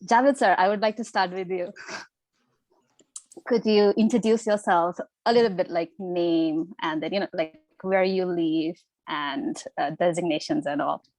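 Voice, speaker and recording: A woman's voice: lowish voice, steady tone, measured pace, darkish tone, no echo, rough recording. A young woman from Nepal moderating an online youth talk show, polite and a little hesitant with fillers. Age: young adult. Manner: polite, uses fillers like "you know" and "like". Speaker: moderator and host. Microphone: video call.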